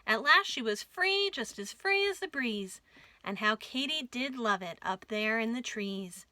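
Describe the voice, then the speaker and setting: A woman reading a rhyming picture book aloud for young children in an expressive storytime style. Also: on the high side, a little slow, dry recording. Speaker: children's storytime reader at a library.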